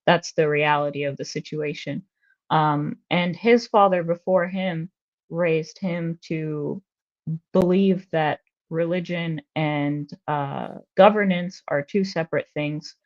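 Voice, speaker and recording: Low-voiced woman, dull lo-fi sound. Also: takes it slowly. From United States. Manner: reflective, steady, with frequent fillers. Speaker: interview guest, researcher and activist. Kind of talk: personal conversational interview.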